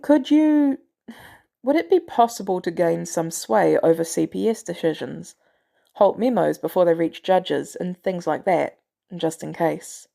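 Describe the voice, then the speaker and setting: A woman's voice: fairly low pitch, unhurried, creaky, warm tone. A woman voicing a weary, wry, guarded retired male agent in an audiobook story, with a hesitant, sardonic way of talking. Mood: reluctant, hesitant.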